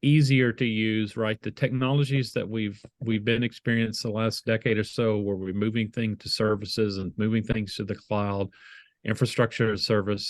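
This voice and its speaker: A middle-aged man with a Southern American accent, answering interview questions over a video call in a measured way, often restarting his phrases. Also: lowish voice, dry recording. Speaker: guest, IT leader.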